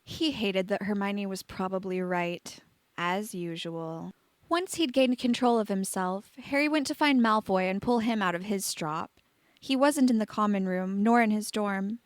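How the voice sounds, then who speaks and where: A woman's voice: mid-range voice, unhurried, no room sound. A woman reading scripted fiction aloud as a storyteller. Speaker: fan fiction reader.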